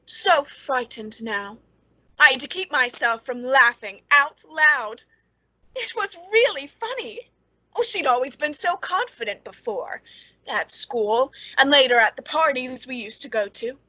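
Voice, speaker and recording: A cold, gleeful murderess played by a woman in a radio suspense drama. Her voice is high and bright with a slightly husky rasp, close and dry, and her delivery is theatrical, sliding between hushed and breathless. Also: expressive, a little slow, no echo, exaggerated voice, low-quality recording. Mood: gloating confession.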